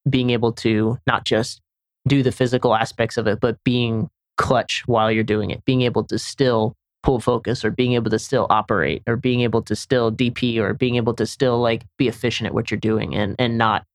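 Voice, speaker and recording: A man's voice: mid-range voice, flat delivery, moderate pace, no room sound. Young guy from senegal rambling on a podcast, lots of likes and restarts, dry close sound. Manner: rambling, many restarts and fillers. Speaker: camera assistant guest. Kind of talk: casual podcast conversation.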